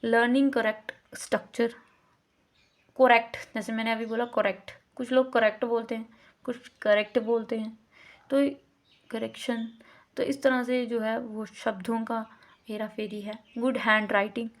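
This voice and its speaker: Indian woman, lecturer, slightly high voice, slow and deliberate, demonstrates pronunciation by repeating words. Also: flat delivery. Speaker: teacher. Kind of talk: lecture explaining a course unit.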